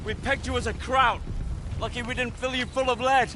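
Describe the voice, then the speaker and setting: An actor playing a blunt, cynical British soldier with a gruff, sardonic manner. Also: male voice, very high voice, fairly even intonation, normal speed, noisy background. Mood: exasperated, scornful. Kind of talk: dramatic game cutscene dialogue.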